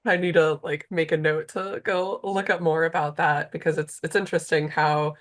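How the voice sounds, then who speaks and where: A woman's voice: sits low, level tone, normal speed. A woman hosting a casual interview, speaking in a conversational style. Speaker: interviewer, host.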